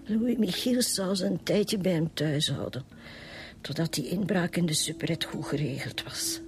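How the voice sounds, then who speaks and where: A woman's voice: lowish voice, darkish tone, natural voice. Lady acting as sad bitter flemish widow, hesitant n sighing, radio play, faint music. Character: grieving, embittered widow of a small-time burglar.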